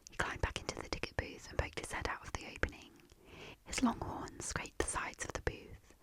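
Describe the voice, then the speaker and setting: A woman reading a storybook aloud in a soft, hushed storytelling manner. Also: brisk, in a whisper. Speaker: narrator.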